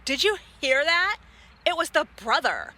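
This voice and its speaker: A woman's voice: high voice, big pitch swings, a little slow, sharp tone. A woman giving casual live commentary, reacting to a drama.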